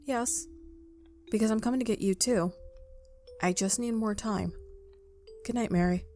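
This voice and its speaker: A woman voicing a calm, evasive, quietly menacing stranger in a visual novel. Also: on the high side, unhurried, faint music behind. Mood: calm, ominous. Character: calm, cryptic, menacing stranger messaging at night. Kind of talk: visual novel character read-aloud.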